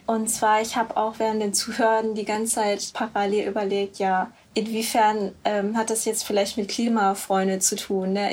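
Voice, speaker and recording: A woman's voice: mid-range voice, a little mellow, husky. A woman speaking on a panel in a thoughtful, loose way with fillers. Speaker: panel guest. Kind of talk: casual panel talk.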